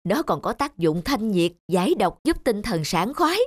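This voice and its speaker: An actor playing a proud, gentle tea-making gardener in a children's cartoon, explaining in a measured, lyrical way. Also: male voice, high-pitched, big pitch swings. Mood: proud, calm. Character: proud, gentle male gardener who makes flower tea. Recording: loud children's music behind.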